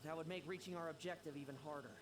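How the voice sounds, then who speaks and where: An actor playing a cold, ruthless schemer who gives clipped, commanding orders. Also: male voice, a little high, fairly even intonation, very fast, close to an everyday voice. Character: cold, ruthless male schemer chasing power. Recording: game audio with background noise.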